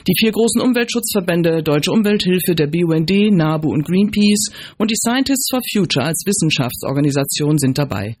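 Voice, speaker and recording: Husky female radio presenter, dry studio sound. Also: lowish voice, animated, fairly quick, a little mellow, no room sound. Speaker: radio host, environmental association chairwoman.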